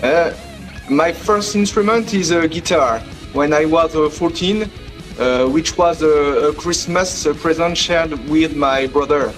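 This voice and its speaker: A man in his forties with a French accent, a guest musician answering in halting English with many pauses. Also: fairly high pitch, faint music behind. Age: 40s. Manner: hesitant, many fillers.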